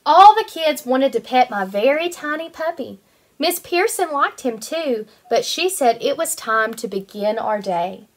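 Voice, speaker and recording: A woman in her twenties, a kindergarten teacher reading a picture book aloud to young children in an animated, sing-song way. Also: high-pitched, unhurried. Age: 20s.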